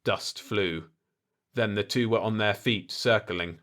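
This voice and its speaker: A man's voice: fairly low pitch, slow, fairly bright tone. A man reading a scripted fiction story aloud as an audiobook narrator.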